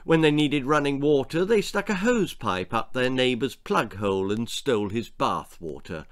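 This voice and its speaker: A man in his sixties reading a children's story aloud in a theatrical, deliberate way. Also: mid-range voice, lively intonation. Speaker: author reading his own stories. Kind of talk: dramatic children's story narration. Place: small book-lined room indoors.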